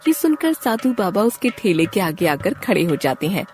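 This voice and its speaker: A woman's voice: medium pitch, sing-song, moderate pace, darkish tone, soft background music. A woman narrator reading a scripted moral story.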